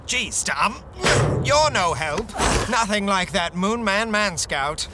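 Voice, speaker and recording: A man's voice: sits high, lively intonation, slow pace, background noise. An actor playing an arch, theatrical male reporter, swooning and catty, in a 1930s radio serial. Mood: teasing, dismissive. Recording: music behind the voice.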